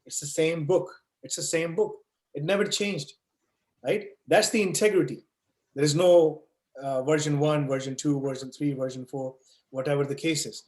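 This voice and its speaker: A man with a slightly high voice talks students through course basics on a video call. He leans on homely examples and keeps asking "right?" to check he is followed. The sound is roomy and echoey. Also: steady tone, moderate pace, a little mellow. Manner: explains with everyday analogies, frequent "right?" and "you know". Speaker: online course instructor answering questions. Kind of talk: live online Q&A session. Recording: echoey room.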